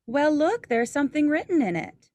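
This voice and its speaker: Actress as affectionate, eager young wife in an old-time radio play; high pitch, dry close sound. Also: female voice, big pitch swings, normal speed, a little mellow, no echo. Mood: curious. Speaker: amateur actress. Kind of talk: 1940s radio play acting.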